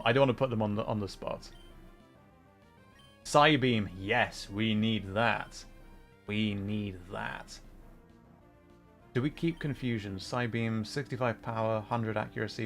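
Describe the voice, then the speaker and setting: A man's voice: on the low side, unhurried, fairly bright tone, faint music behind. A man hosting a livestream, chatting casually between bits of game commentary in a hesitant, thoughtful, self-effacing way. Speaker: livestream host, VTuber gamer.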